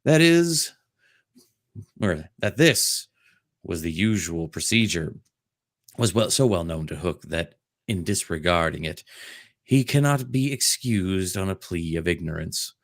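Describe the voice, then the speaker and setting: Slow, low-voiced man reading aloud. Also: lively intonation, dry recording, clean studio sound. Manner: jokey, breaking off for ad-libs and laughter. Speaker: host doing a dramatic reading. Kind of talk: comedic dramatic book reading with joking asides.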